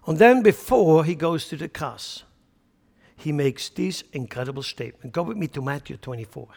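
A man's voice: a little high, animated, moderate pace, creaky, a little mellow, long reverb tail. A man from central Switzerland with a Swiss German accent, preaching a sermon with emphatic rhetorical questions. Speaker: pastor.

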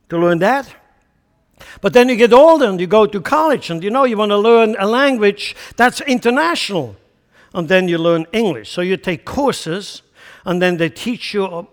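A man's voice: high-pitched, in a large hall. A man from central Switzerland with a Swiss German accent, preaching a sermon with emphatic rhetorical questions. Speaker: pastor.

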